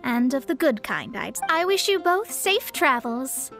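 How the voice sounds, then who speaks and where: A woman's voice: sits high, sing-song, a little slow, a bit bright, soft background music, heavily acted. A woman playing a proud, short-tempered young female astrologer in a video game, with a dramatic, earnest manner. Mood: earnest, grateful. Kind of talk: video game character acting.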